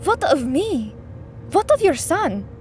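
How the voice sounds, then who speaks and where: An actress playing a patient but firm middle-aged wife and mother in an audio drama, speaking in measured, formal phrases. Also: extremely high pitch, sing-song, darkish tone, a little hiss or hum, exaggerated voice. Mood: concerned, pleading. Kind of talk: scripted audio drama acting.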